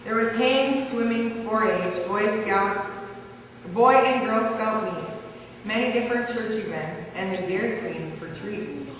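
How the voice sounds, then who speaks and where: A woman's voice: a little high, measured pace. A woman reading a rhyming life-story tribute aloud at a family gathering. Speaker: relative reading a birthday tribute. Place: large echoey room at a family party. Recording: echoey hall from far off, noisy.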